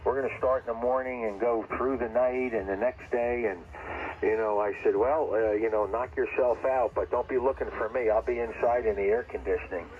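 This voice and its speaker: A man with a mid-range voice chats casually as a ham operator, a little halting. He is heard over a radio transmission that sounds dull, with slight background noise. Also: medium pitch, steady tone.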